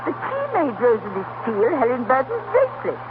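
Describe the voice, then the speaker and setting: An actress playing a fussy, gossiping middle-aged aunt, chattering with theatrical self-importance in a 1930s radio play. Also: female voice, on the high side, wildly swooping pitch, brisk. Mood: gossipy, indignant. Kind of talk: 1930s radio drama acting. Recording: noisy, tinny 1930s radio broadcast.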